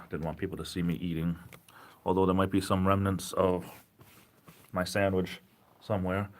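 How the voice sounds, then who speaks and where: A man from Rhode Island with a Rhode Island accent, chatting casually and rambling self-deprecatingly as he hosts a livestream from home. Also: on the low side. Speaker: livestream host.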